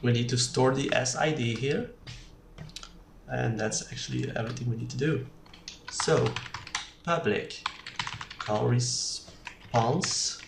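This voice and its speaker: A presenter giving an unscripted screencast coding tutorial, haltingly thinking aloud while typing. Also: medium pitch. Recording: roomy, distant mic with clear background noise. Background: keyboard typing and mouse clicks.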